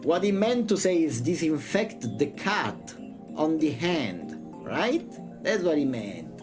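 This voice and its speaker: Animated, joking man streamer over music. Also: a little high, takes it slowly. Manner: joking, playful, exclamatory. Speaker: vtuber streamer. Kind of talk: casual livestream chat while reading a visual novel.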